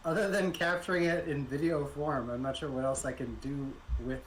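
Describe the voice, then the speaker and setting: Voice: mid-range voice, fairly even intonation, normal speed, slight background noise, rough recording. A player talking casually during a tabletop roleplaying game.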